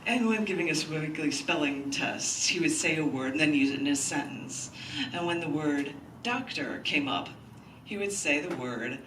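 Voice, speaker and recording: A woman's voice: sits low, animated, moderate pace, fairly bright tone, distant mic. Woman reading poetry in an echoey, noisy room. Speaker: poet and open mic host. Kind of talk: live poetry reading with chatty, anecdotal introductions. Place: large indoor bookstore, live event.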